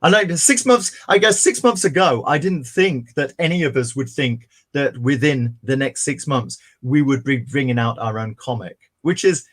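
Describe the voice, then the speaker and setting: Chatty man, lively pitch. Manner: chatty, rambling, quick bursts of excitement. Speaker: co-host of a comics livestream. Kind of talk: casual chat.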